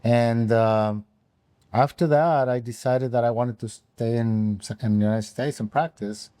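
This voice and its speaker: A man, an OB/GYN guest telling his career story in halting, unhurried speech full of ums. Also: medium pitch, fairly even intonation, warm tone, smooth, no echo, clean studio sound. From Mexico. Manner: halting, with frequent ums and restarts. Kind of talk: conversational interview answers.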